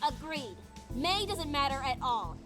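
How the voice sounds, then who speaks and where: A proud, easily flustered girl from a visual novel. Her voice is high and swings animatedly as she speaks in a clipped, defensive way. Prominent game music plays behind her. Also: sing-song, unhurried, heavily acted. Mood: determined. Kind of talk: voice acting in an anime-style visual novel. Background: loud game music.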